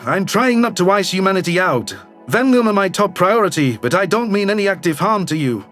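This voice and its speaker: A man's voice: high voice, lively intonation, a bit bright, faint music behind. A man voicing a shifty, self-centered alien governor in a sci-fi audio drama, speaking formally and curtly. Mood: guarded, insistent. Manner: formal, measured, curt. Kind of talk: science fiction audio drama acting.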